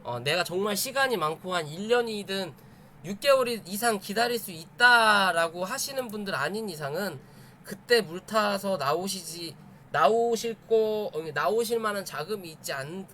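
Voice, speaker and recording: A man's voice: sits high, animated, normal speed, bright, forceful tone, slight background noise. A man explaining charts to viewers in a conversational, emphatic live commentary, often repeating his points. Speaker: stock chart analysis streamer.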